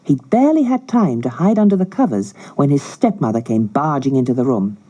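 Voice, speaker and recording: A woman narrating a children's storybook in a measured, expressive, scripted style. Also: extremely low pitch. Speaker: audiobook narrator.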